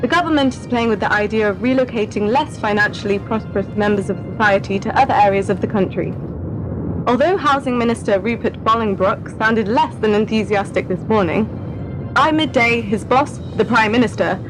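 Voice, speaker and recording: A woman's voice: fairly high pitch, fairly quick, lightly acted, rough recording. A woman playing a formal broadcast news reporter giving a scripted report in a radio play. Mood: newsy, formal. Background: music.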